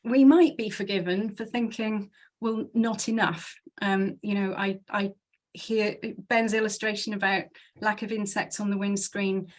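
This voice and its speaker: Woman, from South Wales, guest speaker, earnest, chatty, conversational talk, mid-pitched voice. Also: fairly even intonation, a little slow. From Swansea, South Wales. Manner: earnest, chatty, thinks aloud. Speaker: guest speaker from a conservation charity. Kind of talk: conversational talk to a church group.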